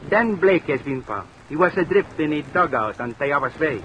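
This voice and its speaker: A man's voice: a little high, lively intonation, normal speed, lo-fi sound, close to an everyday voice. Stiff, curt police sergeant played by a man, noisy recording. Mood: grave, terse. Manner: stiff, formal, terse. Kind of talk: old-time radio drama acting. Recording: noisy old radio recording, rain overlay.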